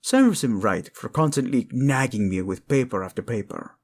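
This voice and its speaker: An actor playing a protective, lovesick king in a romantic audio drama, speaking tenderly and haltingly with a Spanish accent. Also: medium pitch, lively intonation, darkish tone, husky. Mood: playful, tender. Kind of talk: intimate romantic audio drama acting addressed to listener.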